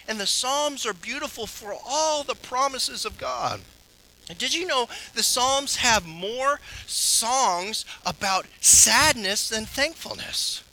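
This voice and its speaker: A man pastor giving an earnest sermon that ends in prayer, swinging between strong, raised passages and low, soft ones. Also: a little hiss or hum, poor audio.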